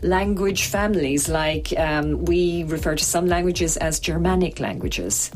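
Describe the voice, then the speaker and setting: Curious low-voiced woman interviewer. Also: a little slow, a little mellow, slight background noise. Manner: curious, inquisitive. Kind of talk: interview questions.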